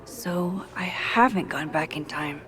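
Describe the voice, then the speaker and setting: A woman's voice: fairly low pitch, takes it slowly, fairly bright tone. Awkward, introspective young woman. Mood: awkward, confused. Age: young adult. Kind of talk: naturalistic video game acting, dialogue and musing.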